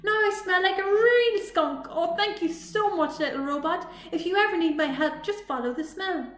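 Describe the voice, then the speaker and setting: A woman's voice: very high-pitched, normal speed, fairly bright tone, pitched above the performer's own voice. A woman voicing a lonely but friendly male skunk in a children's storybook. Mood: delighted. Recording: echoey room, faint noise.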